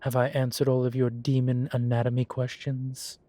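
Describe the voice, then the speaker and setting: A man playing a composed, teasing demon professor in a roleplay audio, speaking in a formal, measured and wry way. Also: medium pitch, monotone, warm tone, close to an everyday voice. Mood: wistful, wry. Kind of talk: scripted roleplay audio, one side of a conversation.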